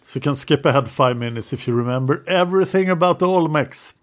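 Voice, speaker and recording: A man's voice: fairly high pitch, fast. A man hosting a history podcast, leading the talk with long explanations and light teasing. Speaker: podcast host. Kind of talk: explaining history in casual chat.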